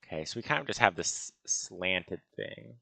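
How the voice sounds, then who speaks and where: A man with a gravelly voice giving casual gaming commentary as he builds, musing and hesitating as he talks to himself. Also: deep voice, animated, normal speed, sharp tone, no echo, low-quality recording. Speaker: gaming video host. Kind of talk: casual Minecraft building commentary, thinking aloud.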